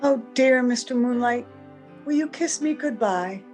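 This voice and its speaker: An actress playing a gentle, wistful and strange young woman in an old-time radio drama, tender and earnest. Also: on the high side, measured pace, soft background music, natural voice.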